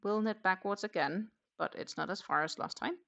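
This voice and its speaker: A woman hosting a casual craft livestream, chatting haltingly and muttering to herself in a self-deprecating way. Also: mid-range voice, creaky, fairly bright tone. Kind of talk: casual livestream chat while knitting.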